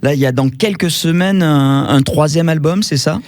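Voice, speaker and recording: A man's voice: fairly high pitch, moderate pace, darkish tone, no room sound. Laid-back guy hosting a radio chat, teasing a bit, dry studio sound. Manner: easygoing, joking, short questions. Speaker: radio host. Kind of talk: radio interview.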